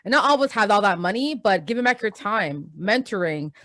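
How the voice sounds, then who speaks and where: A woman's voice: medium pitch, lively intonation, a little fast, a bit bright, no room sound, clean studio sound. A young woman with a Trinidadian accent, co-hosting a podcast in casual, chatty talk. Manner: chatty and upbeat, many fillers. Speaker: podcast co-host.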